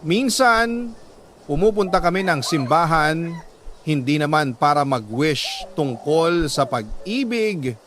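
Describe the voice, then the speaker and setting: Male Filipino radio storyteller, higher-pitched, earnest and emotive letter reading, dramatic pauses, slight background noise. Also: expressive, fairly bright tone. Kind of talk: scripted dramatic narration of a sentimental letter.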